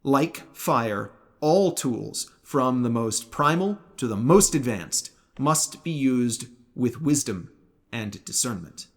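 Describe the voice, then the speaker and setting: A man narrating a scripted religious commentary in a formal, measured way with precise enunciation. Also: medium pitch, animated. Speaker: video essayist.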